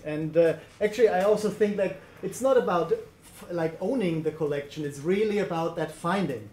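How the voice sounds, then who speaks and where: A man's voice: fairly high pitch, darkish tone. A man in his thirties from Germany, speaking English with a German accent, giving a chatty conference talk with fillers and laughs. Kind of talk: presentation talk. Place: lecture room. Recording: echoey lecture room.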